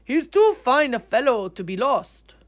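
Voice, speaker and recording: A woman voicing a kindly, curious young male artist in a storybook, musing aloud in an animated way. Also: high-pitched, unhurried, pitched above the performer's own voice. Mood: kind concern.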